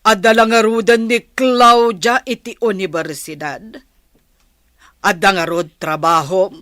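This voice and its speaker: A woman's voice: medium pitch, steady tone, measured pace, a bit bright, dry recording, lightly acted. A woman playing a bossy, hard-hearted farm aunt in a radio drama, stern and commanding, with a Filipino accent. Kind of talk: Ilocano radio drama acting.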